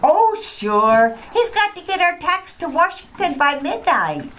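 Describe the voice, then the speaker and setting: A woman's voice: extremely high pitch, very animated, unhurried, loud music behind the voice, heavily acted. A woman playing a ditzy, cheerful wife who talks innocent nonsense matter-of-factly in an old-time radio comedy sketch. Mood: innocent wonder.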